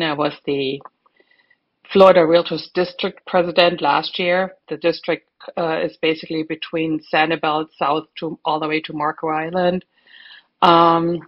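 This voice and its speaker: A woman's voice: sits low, dull audio. German-accented woman, chatty and emphatic. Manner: chatty, emphatic, many fillers. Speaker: real estate broker, podcast guest. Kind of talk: casual interview chat.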